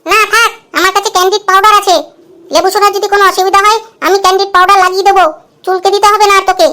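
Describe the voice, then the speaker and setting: Bossy little schoolgirl for a cartoon, super high voice, talks fast. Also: little pitch movement, bright, forceful tone. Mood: coy, smug. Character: bossy, sweet-talking schoolgirl at a coaching class. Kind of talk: comedy cartoon voice acting.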